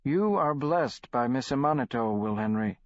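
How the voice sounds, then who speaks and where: Man voicing solemn old shaman, measured, dry dull audiobook recording. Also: medium pitch, lively intonation, dry recording, voice pushed up, natural voice.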